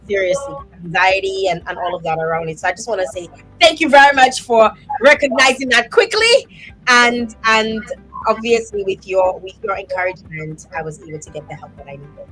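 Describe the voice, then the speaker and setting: A woman hosting a live online talk show, speaking in an expressive, thankful way over a video call. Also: mid-range voice, brisk, faint music behind, low-quality recording. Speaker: host.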